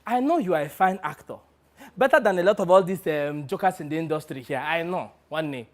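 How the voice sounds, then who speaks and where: A man playing a brash, wheedling, money-minded talent agent with a Nigerian accent in a dramatic monologue, animated and full of exclamations. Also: fairly high pitch, fairly quick, natural voice. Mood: persuasive, firm. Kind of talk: one-person dramatic monologue.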